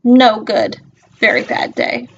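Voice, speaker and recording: A woman's voice: a little high, animated, slow, lo-fi sound, a little hiss or hum. A woman reading a children's picture book aloud expressively, with simple instructive asides to young listeners. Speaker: storybook reader.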